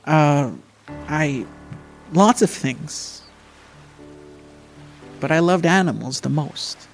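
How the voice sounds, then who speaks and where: A man playing a wistful, frightened, terminally ill young person in an amateur radio drama, speaking in halting, repeated phrases. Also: on the high side, gravelly, full character voice. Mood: wistful, hesitant. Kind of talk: amateur radio theatre acting. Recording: music behind the voice.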